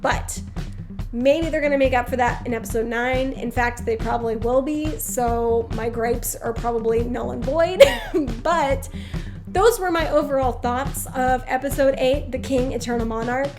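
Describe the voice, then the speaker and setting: A woman with a slightly high voice talks through a casual review, chatty and frank with her opinions. She sounds congested, as if she keeps needing to clear her throat. Music plays clearly behind her. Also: moderate pace. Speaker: drama review vlogger.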